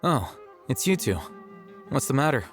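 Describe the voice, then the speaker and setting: An actor playing an aloof young male scholar in a video game, deadpan and articulate with a dry wit. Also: mid-range voice, moderate pace. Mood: calm, matter-of-fact. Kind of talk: English video game dialogue. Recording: music playing behind the dialogue.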